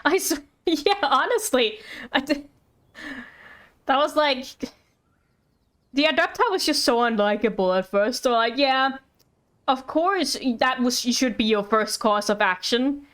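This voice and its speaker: High-voiced woman streaming a game. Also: a bit bright. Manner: rambling, halting, playful. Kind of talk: casual live gameplay commentary.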